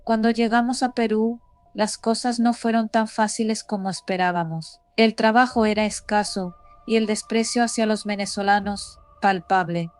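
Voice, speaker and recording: A woman's voice: mid-range voice, fairly even intonation, moderate pace. A woman reading a scripted first-person horror story as a narrator. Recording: voice over background music. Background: music.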